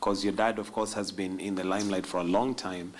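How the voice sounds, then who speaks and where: A man's voice: deep voice, level tone, fairly quick, a little hiss or hum. A man interviewing a guest, asking casual questions in an easygoing way with fillers.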